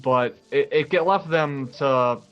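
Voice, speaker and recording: A young man co-hosting a let's play with casual, agreeable gaming commentary, delivered in a halting, conversational way. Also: medium pitch, smooth, lo-fi sound, faint music behind.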